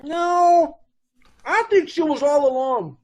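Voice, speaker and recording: Man acting high-pitched, brusque, folksy dance hall boss. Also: slow pace. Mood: chatty. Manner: folksy, ungrammatical, blunt speech. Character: brusque, chatty male dance hall boss, unpolished and informal. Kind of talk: 1950s radio police drama acting.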